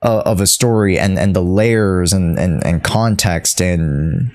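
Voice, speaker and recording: A man with a fairly low voice commentates unscripted on a livestream. He speaks very slowly and haltingly, repeating phrases for emphasis as he searches for the right word. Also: darkish tone. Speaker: streamer.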